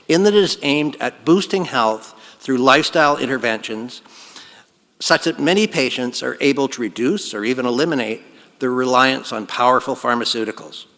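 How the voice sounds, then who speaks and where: Man speaking brightly in a hall. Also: mid-range voice. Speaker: college president giving convocation address. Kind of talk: formal ceremonial speech. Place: large hall.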